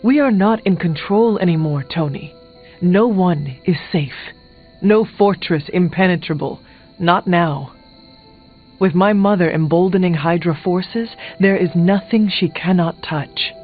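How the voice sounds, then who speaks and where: An actor playing a solemn young warrior hero in a video game, speaking in a measured, formal and earnest way. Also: male voice, high voice. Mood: grave warning. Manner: measured, formal, avoids contractions. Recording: thin phone-like game audio, music behind.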